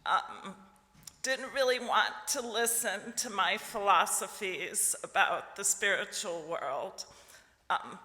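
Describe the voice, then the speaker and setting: A congregant from Lithuania speaks slowly at a church service. The voice is bright and chuckles often. The sound carries a long reverb off the walls of the sanctuary. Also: medium pitch. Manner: chuckles often, unhurried, heartfelt.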